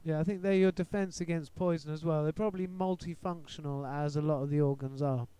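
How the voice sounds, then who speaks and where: A man hosting a casual podcast chat, talking in a relaxed, rambling way. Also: a little high, quick pace, smooth, no echo. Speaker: podcast host.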